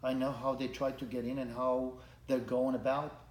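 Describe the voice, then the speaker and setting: A middle-aged man from Romania, a candidate for Congress, answering interview questions earnestly with many halting restarts. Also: medium pitch, flat delivery, darkish tone. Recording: echoey room, faint background noise.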